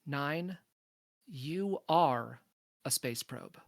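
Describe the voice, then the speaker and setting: A woman playing a wise, protective space probe in a podcast table read, speaking in a measured, firm, reassuring manner. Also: deep voice, animated, dry recording, clean studio sound, heavily acted.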